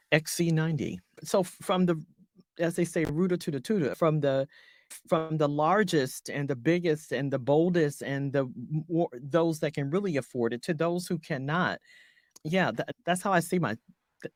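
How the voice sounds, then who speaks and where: A woman voiceover artist talking through her business in an interview, halting often and correcting herself mid-sentence. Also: low-pitched, no room sound, studio quality. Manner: halting, many restarts and self-corrections. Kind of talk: conversational business coaching interview.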